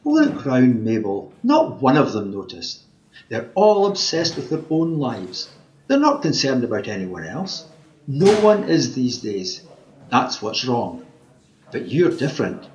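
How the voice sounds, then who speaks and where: A man's voice: mid-range voice, huge pitch swings, a little slow, a little mellow, slight background noise. A man with a Scottish accent playing an insistent, cajoling wish granter disguised as a scruffy tramp in an amateur radio play, theatrical in manner. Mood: smooth, persuasive. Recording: dull remote home recording.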